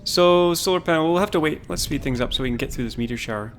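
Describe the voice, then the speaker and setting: Calm, low-key man streaming a game. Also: a little hiss or hum. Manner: relaxed, unhurried, thinks aloud with restarts. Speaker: livestreamer. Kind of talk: casual gameplay commentary.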